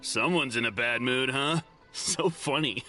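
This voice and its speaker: An actor playing an emphatic, excitable lawman in a video game. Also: mid-range voice, measured pace, lightly acted. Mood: giddily dismissive. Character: hot-tempered male lawman in a giddy daze. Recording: music behind the voice.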